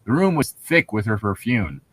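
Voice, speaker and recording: A man's voice: on the low side, sing-song, moderate pace, a bit bright, slight background noise. A man co-hosting a casual book-reading podcast, chatting in a rambling way and reading prose aloud, with casual swearing. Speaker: podcast co-host reading a novel aloud with commentary.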